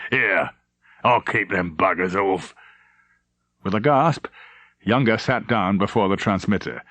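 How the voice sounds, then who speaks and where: A man's voice: fairly low pitch, fairly bright tone, dry recording. A man narrating a novel as a scripted, dramatic audiobook reading.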